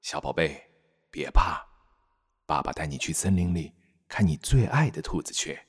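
A man's voice: a bass voice, lively intonation, moderate pace, breathy edge, full character voice. An actor playing a doting, easygoing father of a small girl in a radio drama. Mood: amused, soothing.

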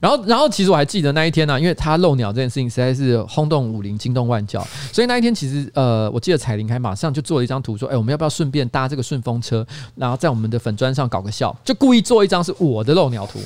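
Male podcast host, Taiwanese accent, very lively pitch, quick and chatty comedic storyteller. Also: no echo. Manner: animated storyteller who acts out quoted reactions. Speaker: podcast host and local politician. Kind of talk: casual comedic chat.

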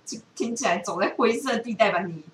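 A woman with a Taiwanese Mandarin accent hosts a casual chat, telling stories in an animated, rambling, self-interrupting way. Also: mid-range voice, a little fast. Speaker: podcast host. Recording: roomy, distant, hissy home recording.

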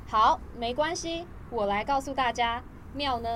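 A woman's voice: sits high, unhurried, fairly bright tone. A woman voicing a cheerful tour guide speaking upbeat to a group of students. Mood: guiding.

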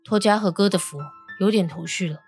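A man's voice: sits high, a little fast, vocal fry, faint music behind. An actor playing a reserved teenage boy investigator in an audio drama, terse and understated. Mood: quiet, pensive. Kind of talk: Mandarin audio drama acting.

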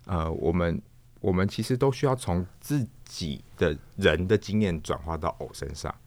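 A man with a Taiwanese accent answering interview questions thoughtfully and haltingly, repeating himself as he searches for words. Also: low-pitched, a little mellow, no room sound. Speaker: guest, theatre performer, puppeteer and director. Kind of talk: reflective interview conversation.

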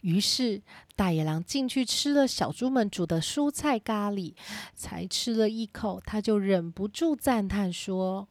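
A woman's voice: mid-range voice, measured pace, darkish tone, dry recording, studio quality. A woman narrating a scripted children's story.

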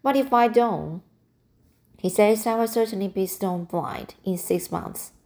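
A woman reading the lines of a weary, bitter elderly woman in a slow, plain voice. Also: medium pitch, vocal fry, a little mellow.

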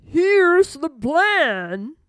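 A woman voicing a kind but firm male elephant in a children's story, speaking politely and deliberately. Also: high-pitched, big pitch swings, fairly bright tone, no room sound, clean studio sound, pitched above the performer's own voice, heavily acted. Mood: firm, planning.

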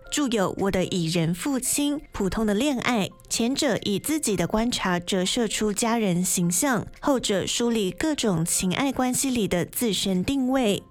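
A woman announcer reading a scripted introduction as narration. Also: fairly high pitch.

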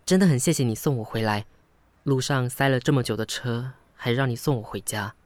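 A man's voice: mid-range voice, brisk, a little hiss or hum, lightly acted. An actor playing a polite, flustered, sentimental young man in a Taiwanese Mandarin radio drama, with sighs and hesitant interjections. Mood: apologetic, flustered. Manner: expressive, sighing, hesitant interjections. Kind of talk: Mandarin radio drama acting with inner monologue. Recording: studio voice over background music. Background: background music.

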